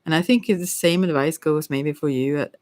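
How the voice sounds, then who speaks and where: A woman's voice: low voice, normal speed, a little mellow, no echo. A middle-aged woman giving a casual, rambling tarot reading in a hesitant, candid, digressive way. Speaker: tarot reader.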